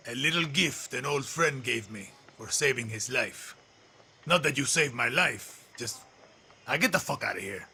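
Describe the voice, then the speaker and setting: A man's voice: mid-range voice, lively intonation, normal speed, vocal fry, pushed and bright, a little hiss or hum, heavily acted. An actor playing a theatrical, boastful older guerrilla mentor who jokes his way through orders in a video game cutscene. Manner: showy, joking, mock dialogue. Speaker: guerrilla contact giving orders.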